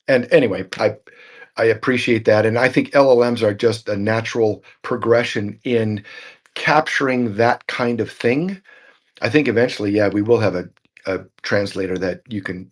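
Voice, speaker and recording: Rambling male talk-show host. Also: on the low side. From Norwegian family background. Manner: rambling, repeats words while thinking. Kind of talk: casual tech talk show chat.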